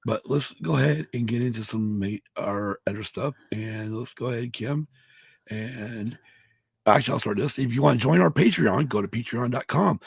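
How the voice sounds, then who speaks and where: A man co-hosting a casual podcast, hoarse from allergies, who rambles, restarts and swears a lot. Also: mid-range voice, normal speed, no echo. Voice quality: gravelly, husky. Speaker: podcast co-host. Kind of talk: casual chat.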